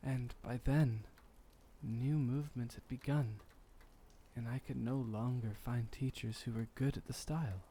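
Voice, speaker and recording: A man playing a gentle, doting, centuries-old vampire boyfriend, speaking softly and tenderly with slightly old-fashioned formality in an intimate ASMR roleplay. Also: medium pitch, fairly even intonation, slight background noise, lightly acted. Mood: wistful, reminiscing. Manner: soft, unhurried, tender, lightly formal old-fashioned phrasing.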